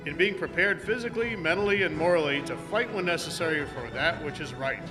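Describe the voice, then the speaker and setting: A man's voice: fairly high pitch, lively intonation, fairly quick, over music, natural voice, poor audio. A man playing an upright, earnest cowboy hero with a declamatory manner in an amateur radio play. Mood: solemn, earnest.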